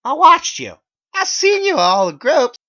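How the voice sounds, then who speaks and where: A man voicing an overwrought, weepy, accusing young man in a dramatic story, with strained loud outbursts. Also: extremely high pitch, sing-song, moderate pace, creaky, voice pushed up, full character voice. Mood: furious, accusing. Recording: dull lo-fi, dry.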